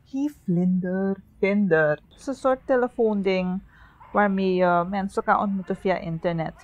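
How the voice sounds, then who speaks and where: A woman's voice: mid-range voice, unhurried, dull audio, close to an everyday voice. A woman playing a polite young woman hosting a date, in naturalistic film dialogue. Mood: casual, defensive. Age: young adult. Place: dim living room indoors.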